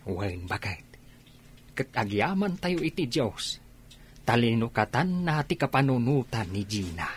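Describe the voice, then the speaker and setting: A man's voice: medium pitch, big pitch swings, measured pace, slight background noise. A man playing an emotional, devout elderly father in a Philippine radio drama. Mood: grateful.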